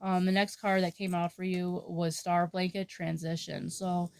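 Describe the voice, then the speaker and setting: A woman reading tarot in a soft, halting way. She sounds nasal and has a sore throat. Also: fairly low pitch, level tone, clean tone. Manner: soft-spoken with frequent fillers and restarts. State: sore throat, under the weather. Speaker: tarot reader. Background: dog snoring.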